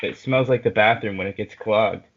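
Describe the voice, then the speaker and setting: A man reading the part of a grumpy, mocking old great-uncle from a cartoon fanfic, gruff and dismissive. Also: on the low side, normal speed. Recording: muffled lo-fi mic with noise.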